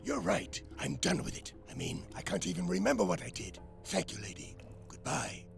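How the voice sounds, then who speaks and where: An actor voicing a forgetful, guilt-ridden prisoner of a pirate in a video game, theatrical and rambling. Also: male voice, medium pitch, huge pitch swings, normal speed, creaky, music in the background, exaggerated voice. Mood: resigned relief. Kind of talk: video game character acting.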